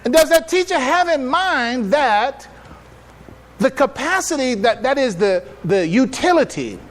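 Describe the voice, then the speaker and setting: High-pitched man lecturing as an imam. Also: unhurried. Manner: animated, emphatic, rhetorical questions and repetitions. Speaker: imam giving a public address. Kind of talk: religious lecture, teaching to an audience.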